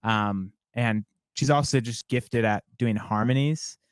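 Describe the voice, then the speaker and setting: A man's voice: mid-range voice, unhurried, no room sound. Young guy songwriter, rambly and thoughtful, lots of "like"s, dead dry room. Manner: reflective, rambling with many "like" fillers. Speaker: songwriter guest. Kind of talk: casual interview conversation.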